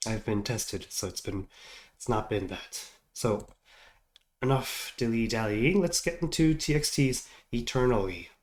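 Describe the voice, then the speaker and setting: A man's voice: medium pitch, unhurried, slight background noise. Stuffed-up man rambling through a reaction. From United States. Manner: rambling, hesitant with many fillers. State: stuffed up, congested. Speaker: reaction video host. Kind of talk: casual chat.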